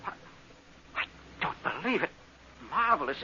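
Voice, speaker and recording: An actor in an old-time radio drama playing an earnest, excitable archaeology expert, polite but insistent. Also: male voice, a little high, a little slow. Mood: amazed. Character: earnest, excitable male archaeology expert, uneasy space traveler. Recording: muffled, hissy vintage radio recording.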